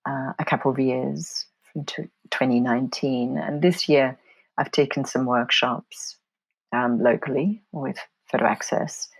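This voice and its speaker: A middle-aged woman from Poland, an interview guest talking reflectively in a soft, thoughtful way that often drifts into whispery asides. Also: very deep voice, unhurried, vocal fry, slightly rough, no room sound, low-quality recording. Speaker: interview guest, photomedia artist.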